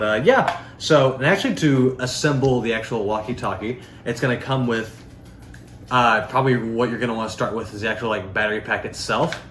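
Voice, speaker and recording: A man's voice: on the low side, fairly bright tone. A man giving a casual, unscripted product demonstration in a rambling, informal way, peppered with filler words. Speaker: product reviewer. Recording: echoey room with faint background noise.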